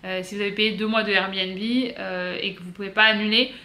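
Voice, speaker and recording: Bright-voiced French woman, quick and chatty. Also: mid-range voice, level tone. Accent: French from France. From south-east of France. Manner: chatty, hesitant, self-correcting with many pauses. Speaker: vlogger. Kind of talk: casual advice vlog to viewers. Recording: roomy echo.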